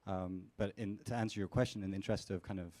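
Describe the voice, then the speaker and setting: A very low-voiced man answers as a panelist, setting out his research findings in a measured way with frequent "you know"s and "um"s. The sound is close and dry, with little room echo. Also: fairly even intonation, dark tone. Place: conference hall panel.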